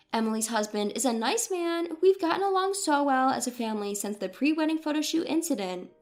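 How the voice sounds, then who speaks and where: A woman's voice: high-pitched, a little mellow. A woman reading a scripted first-person story in a dramatic read-aloud delivery. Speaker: story narrator.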